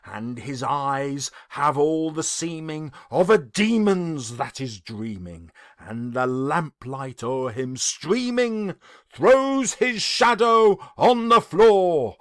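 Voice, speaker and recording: A man reciting gothic poetry theatrically, with heavy emphasis and drawn-out key words. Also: a little high, lively intonation, fairly bright tone, dry recording, clean studio sound. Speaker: reader, English teacher.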